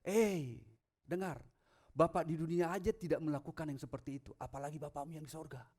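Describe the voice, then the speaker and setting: Male pastor, high voice, emphatic sermon delivery that moves between quiet asides and loud proclamation. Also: fairly quick. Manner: rhetorical questions to the congregation. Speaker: pastor preaching. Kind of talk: sermon with scripture readings.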